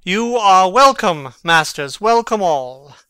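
A man acts a young prince in a Shakespearean drama, witty and mocking as he feigns madness. His voice is highish, very bright and clean, recorded close and dry. The delivery is theatrical, with a sly, sardonic edge. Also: animated, slow pace, clear, resonant tone, dry recording, full character voice. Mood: jovial greeting. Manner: theatrical, teasing, archly sardonic.

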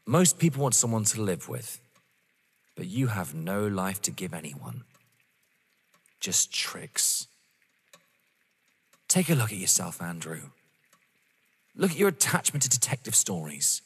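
A man acting a defiant, contemptuous, wounded rival in an audio drama, husky and emphatic. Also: sits low, sing-song, measured pace, pauses often, full character voice. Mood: cold contempt. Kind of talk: dramatic stage-play acting. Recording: long echoing reverb.

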